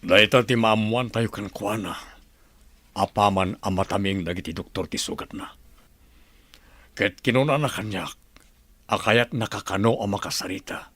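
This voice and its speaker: An actor playing a grave, resolute governor in a radio drama, speaking in a serious, measured way. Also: male voice, on the low side, fairly bright tone, no echo. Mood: grave, determined.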